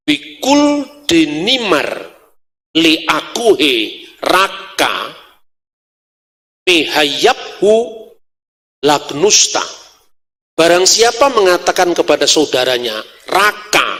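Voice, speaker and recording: A man's voice: fairly high pitch, bright, forceful tone. A middle-aged man with a Javanese accent, a native Javanese speaker, teaching scripture like a sermon with rhetorical questions and colloquial asides. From Java, Indonesia. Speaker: religious lecturer. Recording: long hall reverb.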